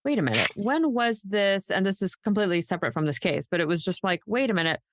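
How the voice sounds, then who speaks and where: A woman co-hosting a podcast in casual true crime discussion, thinking out loud in an emphatic, conversational way peppered with "like". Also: mid-range voice, animated, quick pace, slight background noise. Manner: conversational, speculative, emphatic, says "like" often. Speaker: podcast co-host.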